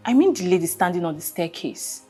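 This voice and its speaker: A woman's voice: on the low side, sing-song, a little fast, darkish tone, husky. A woman playing a king's wife who pleads and insists she has seen something. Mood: insistent. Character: anxious insistent wife of a king. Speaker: queen. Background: music.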